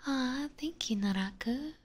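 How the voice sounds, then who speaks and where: A woman softly whispering in a gentle, hesitant ASMR style, chatting casually with viewers up close to the microphone. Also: high-pitched, lively intonation, measured pace. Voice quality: soft, breathy, mostly whispered. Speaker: ASMR streamer and host. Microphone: close binaural ASMR microphone.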